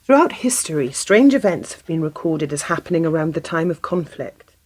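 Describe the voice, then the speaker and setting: A woman's voice: low-pitched, sing-song, normal speed, darkish tone. An actress playing an inquisitive, sceptical female typist in a radio play, pressing for answers. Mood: probing, sceptical. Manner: inquisitive, pressing with short questions. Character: questioning, sceptical female typist drawn into secrecy.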